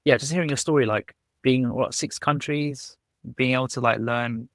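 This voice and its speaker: A man's voice: mid-range voice, fairly even intonation, normal speed, fairly bright tone, dry recording, studio quality. A man hosting a podcast interview, upbeat and chatty, often saying "yeah, no". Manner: upbeat, many fillers like "yeah, no". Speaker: podcast host.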